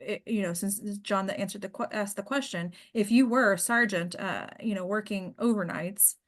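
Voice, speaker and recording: A woman's voice: medium pitch, moderate pace. A woman hosting and coaching a casual group discussion, explaining things in an encouraging way. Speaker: host and acting coach.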